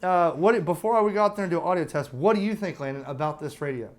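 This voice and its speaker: A man's voice: sits high, quick pace, slight background noise. An American man giving a casual, chatty product review, explaining features step by step in an informal way. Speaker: host of a radio review channel.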